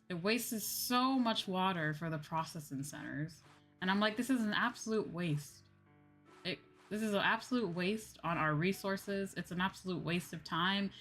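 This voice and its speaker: A woman's voice: medium pitch, fairly bright tone. A woman livestreamer chatting casually while gaming, blunt and swearing freely, repeating her points for emphasis. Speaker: livestream host, VTuber.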